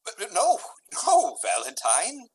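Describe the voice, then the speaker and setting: A woman playing an urgent yet hesitant young gentleman in a Shakespearean radio drama, with deliberate classical delivery. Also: medium pitch, wildly swooping pitch, husky, full character voice. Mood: grave.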